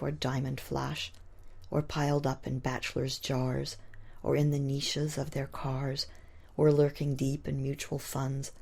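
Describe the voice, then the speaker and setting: A woman poet reciting her own verse expressively, with a husky, breathy, gravelly voice and theatrical, deliberate emphasis on the rhymes. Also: deep voice, a little mellow. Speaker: poet reading own work.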